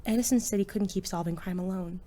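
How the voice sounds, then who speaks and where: A woman's voice: mid-range voice, fast, warm tone, a little hiss or hum, close to an everyday voice. A young woman playing a guarded, wry teenage student who works as a superhero in an audio drama. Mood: reflective. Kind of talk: hobby audio drama acting.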